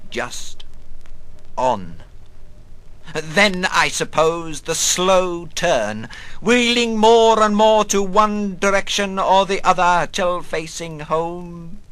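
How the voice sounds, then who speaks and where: An actor playing an old, half-mad, bitter but harmless wanderer in a dramatic monologue, rambling in broken phrases with sudden outbursts. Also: male voice, a little high, fairly bright tone, rough recording. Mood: musing, agitated. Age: older. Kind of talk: dramatic literary monologue reading.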